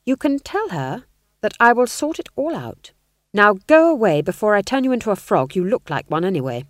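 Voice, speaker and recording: A woman's voice: medium pitch, very animated, a little fast, no echo, clean studio sound, voice pushed up, close to an everyday voice. A woman playing an angry, determined young witch who speaks with pointed, stern emphasis in a fantasy audiobook. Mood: stern, threatening.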